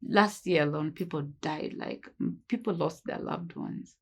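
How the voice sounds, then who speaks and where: A woman's voice: on the low side, a little slow, vocal fry, darkish tone. A woman in her thirties, speaking earnestly in a documentary interview. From Kenya. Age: 30s. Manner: earnest, urging.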